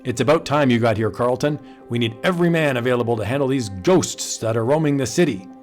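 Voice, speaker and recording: A man voicing a gruff older male police commissioner in an old cartoon, brusque and commanding. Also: mid-range voice, fast talker, soft background music, natural voice.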